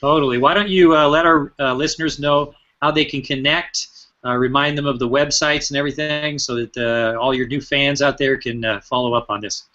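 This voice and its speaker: A man's voice: mid-range voice, steady tone, brisk, sharp tone. An American man hosting a show, chatting playfully as he runs a quiz with a guest, on a slightly dull recording. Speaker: show host and quizmaster. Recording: dull, band-limited sound.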